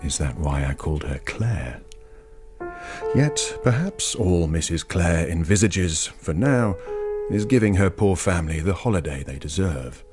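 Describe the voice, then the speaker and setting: A man's voice: low-pitched, lively intonation, a little slow. An actor playing a young, timid, lovestruck clerk who narrates his memories in solemn, florid radio drama style. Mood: musing. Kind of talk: literary first-person radio drama narration. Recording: piano music behind the voice. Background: piano music.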